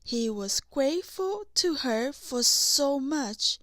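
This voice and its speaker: High-pitched, slow woman storyteller. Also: expressive, fairly bright tone, dry recording. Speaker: audiobook narrator. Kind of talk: scripted children's storybook narration.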